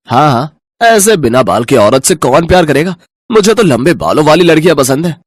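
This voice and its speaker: Man acting a mocking young husband, very animated. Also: a little high, brisk, no echo, studio quality. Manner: exaggerated sneering and gushing. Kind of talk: Hindi animated moral story dialogue.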